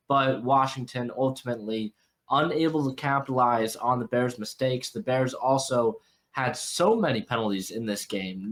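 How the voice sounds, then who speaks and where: A man's voice: mid-range voice, fairly bright tone. Congested young man recapping football. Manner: rambling, repeats words, self-corrects. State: congested from a cold. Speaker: sports podcast host. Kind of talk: casual football breakdown.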